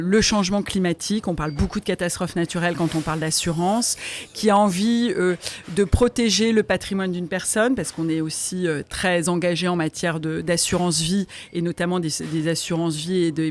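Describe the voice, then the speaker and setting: A woman answering as an interview guest, in an articulate, explanatory way. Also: on the low side. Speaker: interview guest, foundation president.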